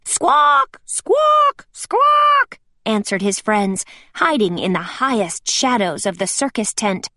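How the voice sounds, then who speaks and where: A woman reading a children's storybook aloud with expressive, deliberate phrasing. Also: medium pitch, no echo, clean studio sound.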